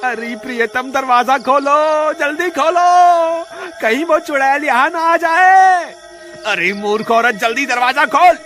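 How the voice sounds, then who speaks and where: A man's voice: extremely high pitch, takes it slowly, bright, forceful tone, full character voice. A man playing a jumpy, fretful village woodcutter in a horror cartoon, given to exclaiming. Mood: panicked. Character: frightened village woodcutter, a husband, jumpy but stubborn. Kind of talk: Hindi horror cartoon dialogue. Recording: music behind the voice.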